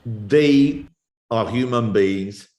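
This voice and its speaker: A man's voice: fairly low pitch, very slow, darkish tone. A man with a Lithuanian accent giving spontaneous answers in an interview as an activist. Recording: echoey room.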